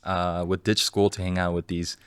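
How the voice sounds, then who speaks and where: A man in his thirties from Los Angeles, a guest entrepreneur telling his story in a relaxed, candid way with many fillers. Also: low-pitched, moderate pace, clean tone, no room sound. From Los Angeles, California. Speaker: guest, entrepreneur and fund manager. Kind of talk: casual interview storytelling.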